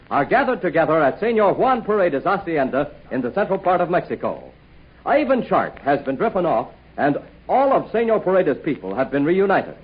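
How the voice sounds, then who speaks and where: High-pitched, animated 1940s radio announcer man. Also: husky. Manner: hearty, folksy, persuasive. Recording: muffled 1940 radio broadcast.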